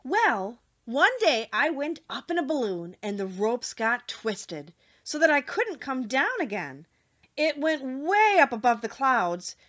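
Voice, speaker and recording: A woman's voice: sits high, fairly bright tone, muffled recording, full character voice. A woman voicing a little old humbug wizard, sorrowful and confessing, in earnest audiobook storytelling. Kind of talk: audiobook character voice.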